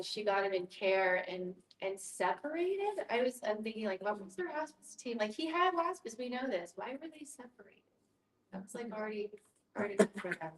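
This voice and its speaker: A woman with a medium-pitched voice is heard some way from the mic in a very echoey room. She facilitates a discussion in a casual, reflective manner, checking in with listeners as she explains. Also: recorded from a distance. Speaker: guest facilitator, hospice social worker.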